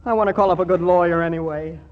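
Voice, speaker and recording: An actor playing a brash, girl-crazy prep school boy, excitable and slangy, in a 1940s radio comedy. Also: high voice, fast talker, natural voice. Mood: cocky, agitated. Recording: dull, hissy 1940s radio recording.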